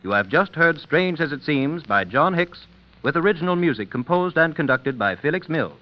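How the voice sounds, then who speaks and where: A man's voice: a little high, expressive, moderate pace. A man announcing in a scripted old-time radio style, as in a sponsor message. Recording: dull, lo-fi old radio recording.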